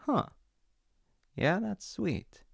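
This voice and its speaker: A man voicing a caring, patient father in an audio roleplay. He talks softly and reassuringly, sometimes dropping to a whisper. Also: high voice, lively intonation, gravelly, breathy edge, dry recording, studio quality, full character voice. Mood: gentle. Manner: soft, reassuring, unhurried, drops to whispers at times. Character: caring middle-aged dad, gentle and patient. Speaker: voice actor.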